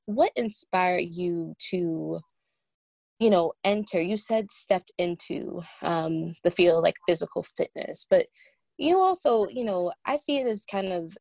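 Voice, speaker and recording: A woman's voice: lowish voice, gravelly, darkish tone, no echo. A woman hosting a conversational podcast interview, speaking in an effusive, rambling way. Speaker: podcast host.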